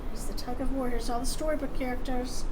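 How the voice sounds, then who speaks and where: A woman reading a children's picture book aloud with dramatic emphasis and casual asides. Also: sits high. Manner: dramatic, animated emphasis with drawn-out words. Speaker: storybook reader. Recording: clearly noisy room recording.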